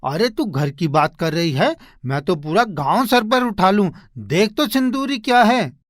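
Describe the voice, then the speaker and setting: Man acting humble woodcutter husband, slightly high voice. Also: expressive, a little slow, no echo, studio quality. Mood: excited, joyful. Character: humble poor woodcutter husband, devoted and modest. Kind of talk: Hindi animated moral story acting.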